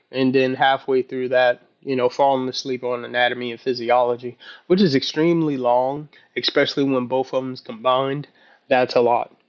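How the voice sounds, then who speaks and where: A man in his early thirties talking casually in a halting, rambling way, as if keeping a personal video journal. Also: medium pitch, dull audio. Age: early 30s. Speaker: vlogger.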